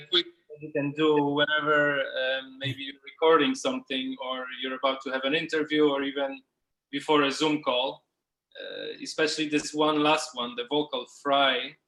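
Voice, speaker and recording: A man's voice: mid-range voice, sharp tone, reverberant, rough recording. A man leading a group workshop, giving casual instructions in a loose, informal way, as if thinking aloud. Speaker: workshop facilitator. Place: indoors, on a group video call.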